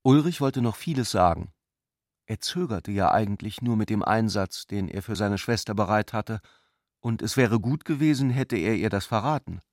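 An actor narrating a literary radio drama as a cool, intellectual brother, speaking in an articulate, measured way. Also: male voice, on the low side, lively intonation, no room sound, clean studio sound. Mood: cool, detached.

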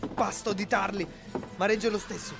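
A creaky-voiced man playing a desperate rebel fleeing a massacre. He speaks in urgent bursts of radio drama acting, with music clearly underneath. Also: high voice, fairly even intonation, moderate pace. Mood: frantic. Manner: urgent, breathless commands. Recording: music behind the voice.

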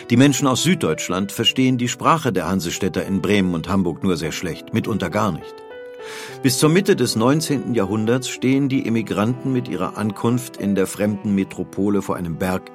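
A man reading scripted documentary narration, deliberate and with dramatic pauses. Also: on the low side.

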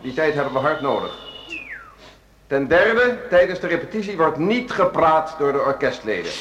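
Casting: self-assured, commanding music-school conductor for radio drama, high-pitched voice in a reverberant hall. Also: male voice, very animated, fairly bright tone, a little hiss or hum. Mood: strict, instructing. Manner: brisk, commanding, addressing a group. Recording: echoey hall, music behind.

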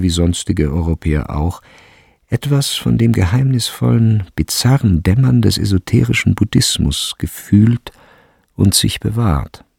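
A man narrating a literary radio drama in a measured, deliberate way, with a gravelly voice. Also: fairly low pitch, dark tone, dry recording, heavily acted. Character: third-person narrator of a turn-of-the-century school story. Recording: studio with music behind. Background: instrumental music.

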